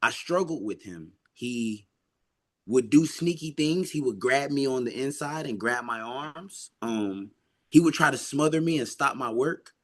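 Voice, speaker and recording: A man speaks as an amateur athlete in a casual, reflective interview. His voice sits at a medium pitch, and he is earnest and emphatic, often repeating a phrase to stress it. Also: expressive, measured pace, fairly bright tone. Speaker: amateur boxer being interviewed.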